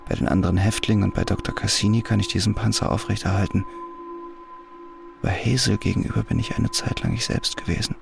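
An actor voicing a tormented prisoner's brooding inner thoughts, slow and introspective, in a radio drama. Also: male voice, fairly low pitch, steady tone. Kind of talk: interior monologue in radio drama. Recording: clear music underneath.

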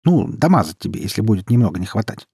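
A man voicing a sharp-tongued young woman, a teasing elder sister who taunts and scolds in an audiobook story. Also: lowish voice, big pitch swings, creaky, breathy edge, dry recording, studio quality, full character voice. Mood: playful teasing. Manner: taunting, brash, quick to scold.

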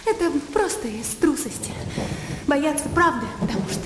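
An actress playing a blunt, spirited young country noblewoman in a radio drama, animated and outspoken. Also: sits high, moderate pace, echoey room, big-room sound, noisy background. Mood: impatient, emphatic.

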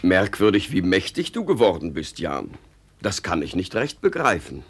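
A stately, older parish provost in a vintage radio play. His deep voice moves in broad cadences, with formal dignity and a rough grain. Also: huge pitch swings, heavily acted. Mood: reproving. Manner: measured, formal. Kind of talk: 1950 radio play acting.

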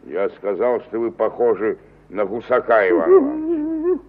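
An actor in a mid-century radio play playing a stubborn, coarse provincial landowner with a blunt, mocking manner. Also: male voice, mid-range voice, wildly swooping pitch, normal speed. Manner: gruff, mocking, earthy speech. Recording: dull, reverberant 1950 radio recording.

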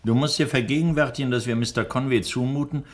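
An actor playing an older, courteous and worried doctor in a 1960s German radio drama, speaking in a measured, hesitant way. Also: male voice, medium pitch, fairly even intonation, lightly acted.

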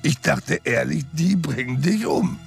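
A street-tough, drily amused sidekick in a radio crime play. His voice is heavily creaky and moves animatedly in pitch. He mocks in loose everyday speech over faint music. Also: lively intonation, a little slow, full character voice. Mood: grim, urging. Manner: colloquial, mocking. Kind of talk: German radio crime drama acting.

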